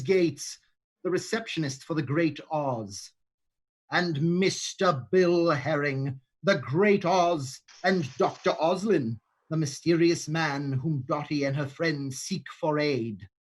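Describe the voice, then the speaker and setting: A man's voice: on the high side, husky. A man narrating the cast list and stage directions of a play reading, with dry, sarcastic asides. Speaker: narrator and playwright. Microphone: home microphone, livestream.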